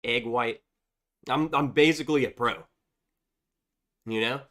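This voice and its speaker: A man's voice: medium pitch, unhurried, fairly bright tone. A man hosting a casual livestream, reading viewer chats aloud in a chatty, upbeat way, sounding congested from a cold. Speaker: livestream host.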